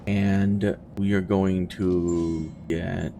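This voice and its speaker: A man's voice: low-pitched, level tone, very slow, gravelly, mellow, slight background noise. A man giving casual gameplay commentary, thinking aloud in a hesitant, musing way and often trailing off between thoughts. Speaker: video game streamer.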